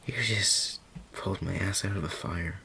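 A male voice actor playing a tender daemon boyfriend in an ASMR roleplay, talking softly and often in a whisper, stammering with affection. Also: sits low, steady tone, normal speed, gravelly, slight background noise, exaggerated voice. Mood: soothing.

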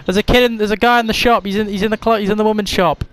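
A man's voice: high-pitched, rapid-fire. A young man hosting a gaming video, chatting casually, swearing and laughing over the game. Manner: swears a lot, laughs, gets loud and excited. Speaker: gaming channel host. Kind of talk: casual gameplay chat. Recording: game audio with gunfire behind. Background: gunfire from the game.

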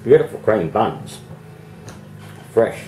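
Hoarse Australian man chatting slowly. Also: fairly low pitch, very animated, dark tone, a little hiss or hum, rough recording. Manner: chatty, laughs often between remarks. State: hoarse, losing his voice. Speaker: vlogger. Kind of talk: casual home vlog to camera. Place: home, indoors and in a backyard. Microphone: camera mic.

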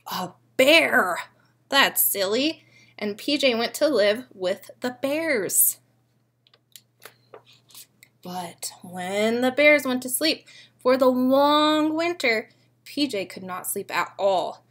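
A young woman reading a picture book aloud to small children like a preschool teacher, expressively and often pausing to ask them questions. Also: sits high, expressive, a bit bright. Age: young adult. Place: living room at home.

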